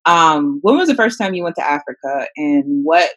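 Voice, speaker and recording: Relaxed podcast interviewer, mid pitch. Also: moderate pace, dull audio. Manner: relaxed, brief affirmations, some fillers. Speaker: interviewer host. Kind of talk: casual interview questions.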